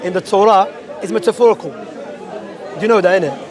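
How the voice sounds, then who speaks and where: A man's voice: sits high, normal speed. Insistent man preaching over a crowd. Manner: insistent, interrupting, quotes scripture. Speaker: street preacher. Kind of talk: street debate. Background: crowd chatter.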